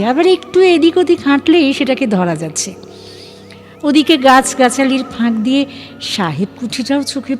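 Suspenseful female story narrator, slightly high voice. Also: a little mellow. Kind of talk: dramatic scripted story narration.

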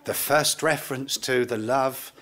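An older man preaching a sermon in an earnest, solemn, deliberate way, in short phrases with pauses. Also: medium pitch, fairly even intonation, fairly bright tone. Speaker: pastor. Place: indoors, church pulpit. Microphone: lectern gooseneck microphone.

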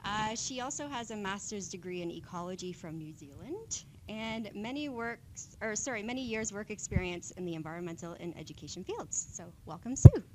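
A woman's voice: lively intonation, normal speed, hardly any pauses. A woman hosting a talk series, reading announcements and introducing the speaker with some fillers. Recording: noisy room with rumble.

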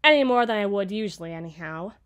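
A woman's voice: mid-range voice, animated, fairly bright tone, soft background music, close to an everyday voice. A woman playing a rich, possessive young heiress who is condescending and doting, with a haughty, teasing manner, in an ASMR roleplay. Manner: haughty, teasing, drawn-out words. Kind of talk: ASMR roleplay, scripted monologue.

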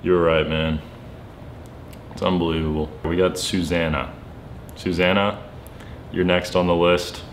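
A young man chatting casually and excitably to camera in slangy, playfully dramatic speech in a bedroom. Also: low voice, a little slow, long pauses, slight background noise. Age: young adult. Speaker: vlogger making prank calls. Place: bedroom, indoors.

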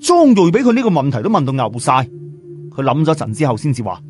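A man with a Cantonese accent narrating a story as an audiobook storyteller, in an animated, conversational, explanatory way. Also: on the high side, fast, soft background music. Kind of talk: animated scripted narration with colloquial asides.

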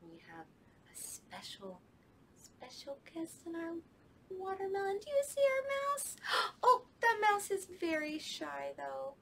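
A woman's voice: very high voice, sing-song, slow, gravelly, slight background noise. A young woman, a children's librarian, leading a storytime with songs, talking to kids at home in a sing-song way in a library children's room.

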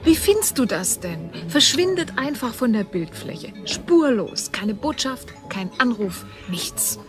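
A headstrong young woman in a science fiction radio drama. She talks bluntly and casually in a lightly high, impatient voice, with soft music underneath. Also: sing-song, a little slow. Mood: exasperated. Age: young adult. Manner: colloquial, blunt.

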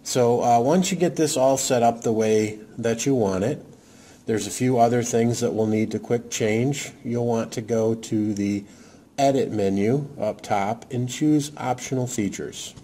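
A man casually walking through a how-to demo, talking in a halting way with many fillers. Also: mid-range voice, mellow. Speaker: radio hobbyist demonstrating programming. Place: indoor desk, off camera.

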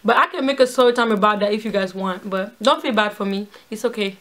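A woman in her twenties in a casual couple challenge video, chatty and quick to laugh, filming in a small room. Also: mid-range voice, fairly bright tone, a little hiss or hum. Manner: chatty, filler-heavy, laughs easily. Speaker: co-host.

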